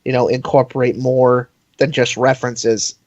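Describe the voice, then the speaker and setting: A man's voice: medium pitch, little pitch movement, normal speed, a little mellow, no room sound. A man in his twenties chatting casually and expressively over slightly lo-fi online call audio. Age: 20s. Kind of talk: casual chat.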